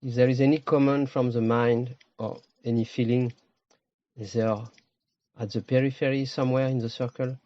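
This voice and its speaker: Low-voiced man guiding a meditation. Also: flat delivery, warm tone, dry recording, lo-fi sound. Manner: slow, pausing, short phrases. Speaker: meditation teacher. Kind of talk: guided meditation.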